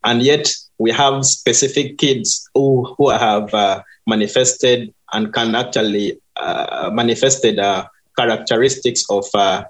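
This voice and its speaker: A man from Uganda, a guest answering interview questions in a hesitant way, with many pauses and fillers. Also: mid-range voice, steady tone, fairly bright tone, rough recording. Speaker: guest, university administrator.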